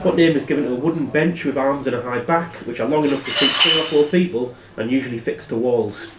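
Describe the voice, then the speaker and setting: A man hosting a quiz as quizmaster, reading questions aloud in a plain, matter-of-fact way and giving brisk rulings. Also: medium pitch, very noisy. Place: live in a pub. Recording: echoey room, distant microphone.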